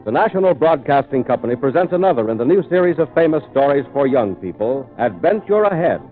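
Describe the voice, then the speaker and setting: A man's voice: medium pitch, sing-song, fast. Grand male radio announcer over organ. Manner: grand, theatrical. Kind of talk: 1940s radio announcing, scripted narration. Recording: tinny old radio recording. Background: organ music.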